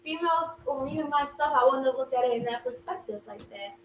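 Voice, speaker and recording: A young woman co-hosting a casual podcast chat, expressive and quick to laugh. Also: high-pitched. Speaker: podcast co-host. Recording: roomy echo, far from mic, faint hum.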